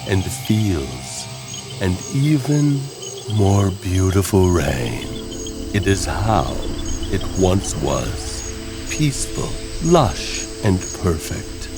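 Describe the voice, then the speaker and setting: Male horror narrator, very slow delivery, theatrical, wry, drawn-out phrasing, music behind. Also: low-pitched, big pitch swings. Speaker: host and narrator of horror stories. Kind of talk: dramatic horror storytelling, scripted narration. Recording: music under the voice.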